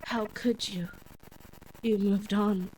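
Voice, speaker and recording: A woman's voice: mid-range voice, steady tone, slow, noisy background, close to an everyday voice. A woman voicing the bitter, wounded ghost of a lover, accusing in a horror story. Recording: music under the voice.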